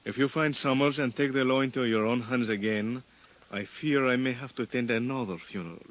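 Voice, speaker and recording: An actor playing a stern, formal police lieutenant in a 1950s radio drama, speaking in measured, careful sentences without contractions. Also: male voice, medium pitch, fairly bright tone, natural voice. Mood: grave. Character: stern, formal male police lieutenant in a small coastal town.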